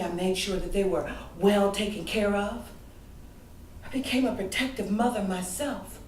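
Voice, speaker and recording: A woman performing a theatrical monologue as a grieving grown daughter, wounded and yearning, telling her story emotionally with deliberate dramatic pauses. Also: mid-range voice, a little mellow, lightly acted. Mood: holding back hurt. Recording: echoey room, distant mic, faint noise.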